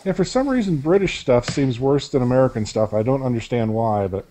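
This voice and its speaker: A man's voice: medium pitch, lively intonation, fairly quick, mellow. An older man chatting on a video call through a headset from a small room at home. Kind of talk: casual video-call chat.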